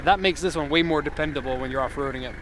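An upbeat, enthusiastic man in his thirties talks casually while walking around a truck outdoors. His voice sits a little high, picked up on a handheld camera mic. Clear outdoor noise runs under everything he says. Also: very fast, a bit bright. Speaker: car exporter reviewing a vehicle. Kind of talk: casual walkaround commentary. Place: outdoors in a park by a parked truck.

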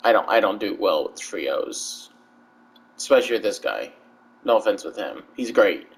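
A man's voice: lowish voice, expressive, moderate pace, gravelly, rough recording. A man streaming a video game, chatting casually and teasing his friend. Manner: playful, teasing, bursts of silly voices. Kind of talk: casual gaming chat with a friend.

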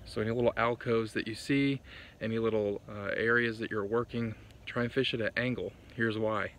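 A young man talks straight to the camera in a chatty, upbeat way. His voice sits a bit low. It is recorded on a phone held at arm's length outdoors by a lake, with faint open-air ambience behind him. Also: fairly even intonation, normal speed, a bit bright, a little hiss or hum. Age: young adult. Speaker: fishing vlogger. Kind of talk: casual outdoor tips vlog. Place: outdoors on a lakeshore at dawn.

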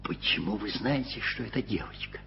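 Flustered middle-aged bachelor editor: mumbling, hesitant, lowish voice, dull vintage sound with faint music. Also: male voice, lively intonation, fairly quick, gravelly, very noisy. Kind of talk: 1980s radio drama acting.

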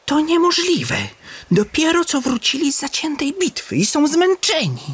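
A man voicing an indignant young male warrior officer of noble birth, quick and high-strung. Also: big pitch swings, fairly bright tone, full character voice.

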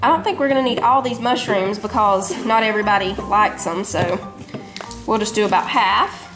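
A woman's voice: mid-range voice, normal speed, music in the background, poor audio. A woman in her thirties with a Southern US accent, chatting homily to viewers while cooking in her home kitchen. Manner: chatty, homey, talks to viewers as y'all. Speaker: home cooking vlogger, mother. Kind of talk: casual cooking vlog narration.

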